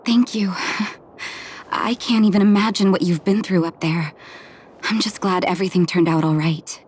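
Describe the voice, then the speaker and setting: A woman voicing a gentle, soft-hearted healer in an anime-style game, tender and soothing in manner. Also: lowish voice, steady tone, normal speed, husky. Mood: tender, solemn.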